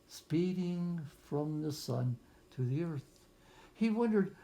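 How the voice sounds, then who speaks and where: Slow, mellow storyteller reading to children. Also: medium pitch. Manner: gentle, simple explanations for young children; musing asides and rhetorical questions. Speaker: physicist reading a picture book to children. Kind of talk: read-aloud storytelling with explanatory asides.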